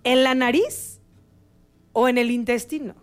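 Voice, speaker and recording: A lively woman hosts the show and keeps firing questions at her guest. Her voice sits high. Faint music plays behind her. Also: unhurried, smooth. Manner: lively, asks questions, acts out viewers. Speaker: talk show host. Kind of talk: casual talk-show chat.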